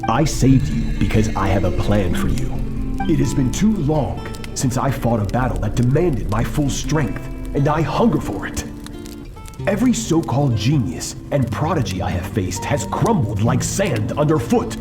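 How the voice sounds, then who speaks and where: A man voicing a cold, ruthless, proud swordmaster in a dramatic video game, stern and measured. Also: medium pitch, big pitch swings, over music, lightly acted. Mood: proud, hungry.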